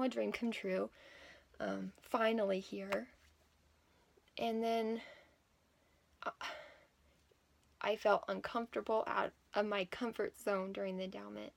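A woman in her thirties telling a personal story in a casual, unscripted way, halting and often correcting herself. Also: mid-range voice, vocal fry. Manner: halting, with many self-corrections. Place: small plain room indoors at home. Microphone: phone or laptop at arm's length.

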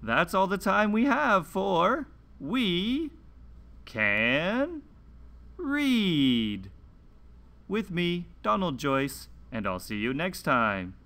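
A man hosting a children's read-along lesson, reading a script slowly and deliberately with careful enunciation and sing-song emphasis. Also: sits high, very animated, bright, forceful tone.

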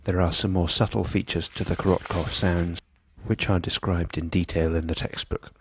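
A man reading scripted medical instruction in a measured, precise, impersonal manner. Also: low-pitched, fairly even intonation, breathy edge, no room sound. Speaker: instructional narrator.

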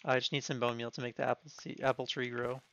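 Gravelly young man on a dull mic. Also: medium pitch, flat delivery, fairly bright tone. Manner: quick, practical, directing the teammate. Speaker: speedrunner. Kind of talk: casual gaming chat with teammate. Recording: slightly dull mic with faint noise.

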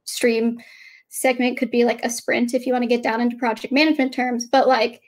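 A woman's voice: fairly high pitch, level tone, a little fast. A woman in her late twenties co-hosting a casual video call chat, talking loosely with lots of fillers. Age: 20s. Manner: chatty, lots of "like". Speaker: co-host.

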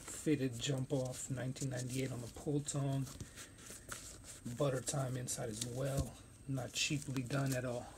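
A man's voice: medium pitch, noisy background. A man hosting a casual sneaker unboxing vlog, talking in a loose, rambling way with plenty of slang, recorded on a phone camera in a room stacked with shoe boxes. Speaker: sneaker reviewer.

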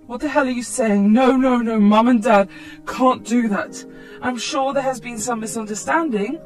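A woman's voice: fairly high pitch, moderate pace, darkish tone, faint music behind, close to an everyday voice. A woman narrator voicing an anxious, indignant young mother in a dramatic story, emotional and protesting. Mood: alarmed.

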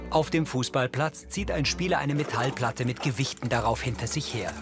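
A man reads scripted narration for a TV crime series as an audio describer, measured and matter-of-fact. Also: mid-range voice, over music.

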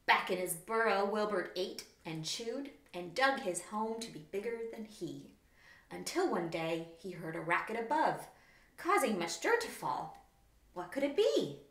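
A woman narrates a children's storybook with expressive read-aloud delivery. Her voice is mid-pitched, and the small room adds a clear echo, as if recorded a few steps from the camera. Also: unhurried. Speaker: children's author reading her book. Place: small plain room indoors. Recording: roomy, echoing indoor room.

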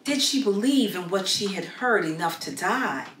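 A middle-aged woman reading a children's story aloud with expressive, deliberate dramatic emphasis, recorded in a small room. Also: mid-range voice. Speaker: storybook read-aloud narrator. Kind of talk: scripted narration, children's read-aloud story. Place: small plain room, indoors. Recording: roomy echo with clear background noise.

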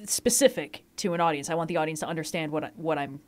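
A woman co-hosts a relaxed podcast conversation about art. Her voice is on the low side, and she talks with lively, self-deprecating humor. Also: fast talker. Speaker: podcast host. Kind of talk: casual chat.

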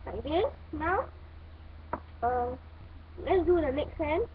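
A woman giving halting, step-by-step instructions in a hands-on toy building tutorial. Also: high voice, expressive. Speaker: toy review host. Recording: muffled phone-like audio, clear background noise.

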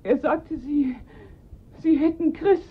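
An actress playing a frantic, pleading mother of a kidnapped boy in a German radio crime drama, breathy, urgent and halting. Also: high-pitched, phone-quality sound. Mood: shaken, halting.

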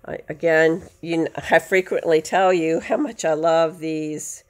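Low-voiced nasal woman teaching sewing. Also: unhurried. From United States. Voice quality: nasal from allergies. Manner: chatty, rambling asides, self-correcting. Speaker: quilting teacher. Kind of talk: casual craft tutorial. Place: home sewing table, indoors.

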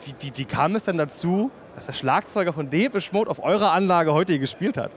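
A young man with a slightly high voice interviews in a casual, informal style and offers his own opinions. He talks into a handheld microphone with noise from a trade fair hall behind him. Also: animated, a little fast. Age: young adult. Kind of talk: casual technical interview chat. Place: busy trade fair booth in a large hall.

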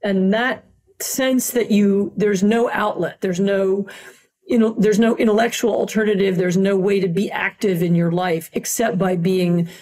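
A middle-aged woman, a guest historian in a podcast interview, explaining in a measured way with frequent "um" and "you know". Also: mid-range voice, steady tone, darkish tone.

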